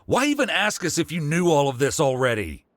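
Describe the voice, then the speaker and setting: Game accomplice, weary and defensive, emphatic, highly expressive fairly high voice. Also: male voice, a little fast, dry recording, studio quality. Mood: exasperated. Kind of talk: video game voice acting.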